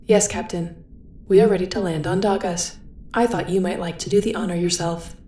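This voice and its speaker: A polite female ship's computer acted by a woman speaks in a soft-edged, mellow tone wrapped in echo. Every line is formal, measured and deadpan, in sci-fi audio drama style. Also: on the low side, close to an everyday voice. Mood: polite, composed. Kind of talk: scripted sci-fi audio roleplay acting.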